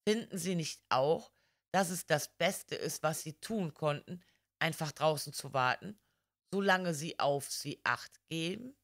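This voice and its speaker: A woman voicing a polite, gentle male priest detective who explains things calmly in a crime audiobook. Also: deep voice, unhurried. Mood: confiding.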